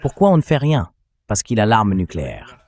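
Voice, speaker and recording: A man with a fairly low, AI-generated voice delivers political and military commentary. He speaks as an interview guest, emphatically, in short declarative statements. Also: wildly swooping pitch, normal speed, darkish tone. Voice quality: AI-generated translation voice.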